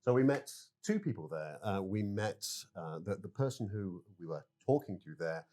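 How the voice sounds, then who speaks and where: A man's voice: deep voice, animated, moderate pace, mellow. A middle-aged man, a narrative director, explaining his game in a developer presentation.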